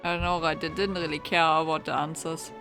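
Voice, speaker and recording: A woman's voice: sits low, flat delivery, fairly quick, music in the background. A woman streamer reacting chattily to a game in casual commentary.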